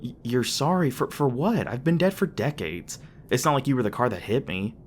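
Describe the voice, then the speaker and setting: A man's voice: mid-range voice, animated, normal speed, slight background noise, close to an everyday voice. A man playing a flustered, tender demon boyfriend in an intimate ASMR roleplay, speaking hesitantly with stammers, bashful and a little defensive. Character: flustered, tender young male demon boyfriend, secretly human-born.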